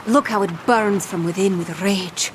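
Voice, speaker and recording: A woman's voice: mid-range voice, unhurried, slight background noise, natural voice. A woman playing a brash, fame-hungry pirate captain who talks in theatrical old-time pirate speak in a scripted audio adventure. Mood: gleeful, dramatic. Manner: theatrical, mock-archaic pirate talk.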